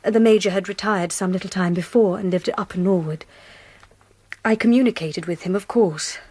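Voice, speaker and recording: A woman's voice: medium pitch, moderate pace, darkish tone, husky, natural voice. A woman acting a reserved, well-bred young Victorian governess with an English accent, composed and precise, in a radio drama. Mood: composed, earnest.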